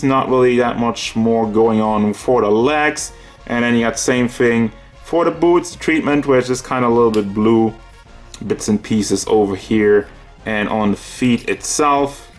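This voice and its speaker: A man giving a casual, unscripted figure review in a rambling, conversational and slightly nitpicky way. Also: mid-range voice. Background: background music.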